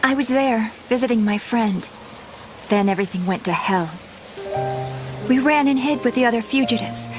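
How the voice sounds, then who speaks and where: An actress as a young female adventurer with a high, breathy voice. She is earnest and haunted in the manner of game drama, with loud music swelling behind her. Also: moderate pace, pauses often, lightly acted. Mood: haunted, sorrowful. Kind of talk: video game cutscene acting.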